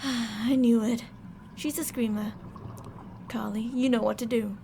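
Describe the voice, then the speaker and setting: A high, heavily creaky female voice acted by a woman plays a mad scientist captor in an ASMR roleplay. She is syrupy and courteous with a stern, controlling edge. There is clear noise behind her. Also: steady tone, measured pace, dark tone. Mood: sweetly menacing. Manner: sugary politeness turning stern and commanding. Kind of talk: ASMR roleplay audio drama.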